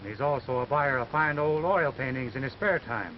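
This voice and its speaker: A man's voice: medium pitch, moderate pace, lightly acted. An American actor playing an accused, level-headed cowboy who defiantly protests his innocence in a 1930s western film. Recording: muffled noisy 1930s film soundtrack.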